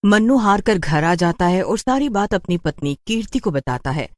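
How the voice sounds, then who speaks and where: A woman's voice: medium pitch, lively intonation, moderate pace, no room sound, studio quality. A woman storyteller giving scripted narration of a horror tale.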